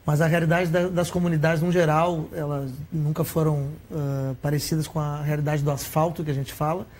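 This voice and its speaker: Guy guesting on a tv interview, fairly high voice, earnest, explains with numbers. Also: a little mellow. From Rio Grande do Sul, Brazil. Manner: earnest, explains with figures, some restarts. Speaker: author and academic guest.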